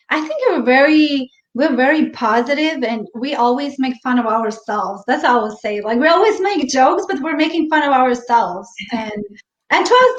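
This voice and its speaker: A woman with a Bosnian accent, chatting warmly as a guest on a live stream and laughing easily. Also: on the high side. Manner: warm, chatty, laughs easily, restarts sentences. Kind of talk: casual interview chat over a live stream. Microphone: video call. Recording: echoey, dull lo-fi live stream audio.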